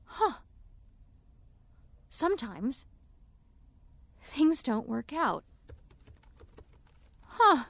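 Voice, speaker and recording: Resentful woman for audio drama: high, sharp-edged, slow muttered delivery, close dry sound. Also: big pitch swings, vocal fry, no echo, exaggerated voice. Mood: bitter, mocking. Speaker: actor. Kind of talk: naturalistic audio drama acting.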